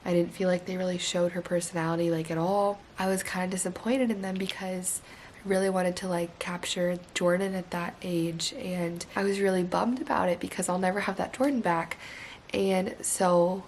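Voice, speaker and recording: A woman's voice: fairly low pitch, steady tone, moderate pace, a little mellow, slight background noise. A woman in her twenties vlogging in a casual, candid way, her voice still recovering from being lost. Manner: chatty, candid, lots of "like". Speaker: vlogger and teacher. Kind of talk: casual vlog chat.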